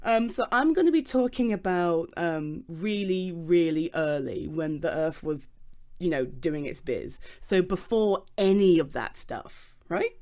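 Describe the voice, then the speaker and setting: British woman acting as a nerdy geology gal, kinda low voice, rambly n eager, flustered asmr roleplay chat. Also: animated, measured pace, darkish tone. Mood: flustered, thoughtful. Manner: rambling, hesitant, eager, informal explaining to a listener. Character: flustered, chatty geology nerd woman prepping a talk. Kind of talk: ASMR audio roleplay, conversational explaining.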